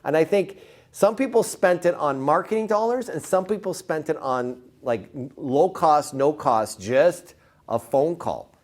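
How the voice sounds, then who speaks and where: A man's voice: on the high side, lively intonation, unhurried, darkish tone. A man giving an informal motivational sales presentation, repeating words for emphasis and putting questions to his listeners. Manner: informal, punchy, asks the audience questions. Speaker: sales trainer presenting to real estate agents.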